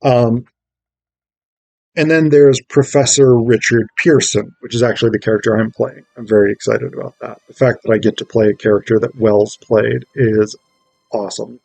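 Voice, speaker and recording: A man's voice: mid-range voice, steady tone, moderate pace, vocal fry, darkish tone, dry recording, low-quality recording. A man giving a casual spoken review, enthusiastic and digressive, often thinking aloud as he goes. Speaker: amateur stage actor reviewing a play script.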